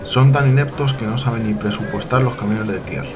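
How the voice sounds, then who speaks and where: A man's voice: on the low side, brisk, crowd noise, lightly acted. A man playing a critical, matter-of-fact archaeology pupil explaining in a scripted radio soap. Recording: music behind the voice.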